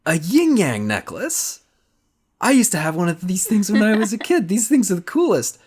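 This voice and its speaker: A man's voice: high-pitched, moderate pace, breathy edge, pitched above the performer's own voice, full character voice. A man voicing an enthusiastic, naive young male tourist in a tabletop horror-comedy game. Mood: excited. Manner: excitable, naive. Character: enthusiastic, puppy-dog eager young male American tourist.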